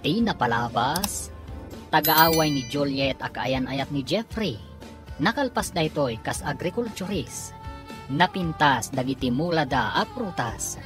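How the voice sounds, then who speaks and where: A low female voice with an Ilocano accent delivers dramatic radio serial narration. Her intonation swings widely, and music plays clearly behind her. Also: animated, unhurried.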